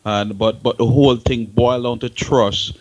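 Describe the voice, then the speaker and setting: A man in a talk-show discussion, speaking haltingly and often repeating words as he restarts phrases. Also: medium pitch, fairly even intonation, muffled recording.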